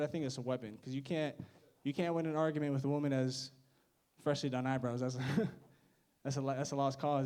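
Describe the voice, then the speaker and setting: A man's voice: fairly high pitch, a little mellow. A young man doing a stand-up set, awkward and self-mocking, laughing at his own jokes. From United States. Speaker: stand-up comedian.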